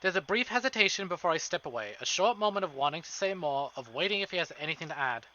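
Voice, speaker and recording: A male streamer reading visual novel narration aloud in an expressive, dramatic way, with casual asides. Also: on the high side, fast, lo-fi sound. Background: game music.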